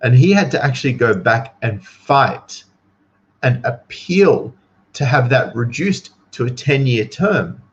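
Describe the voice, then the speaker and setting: Man, higher-set voice, dull lo-fi audio. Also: big pitch swings, a little slow. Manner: incredulous, emphatic, informal slang. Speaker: livestream commentary host. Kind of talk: casual opinionated commentary to viewers.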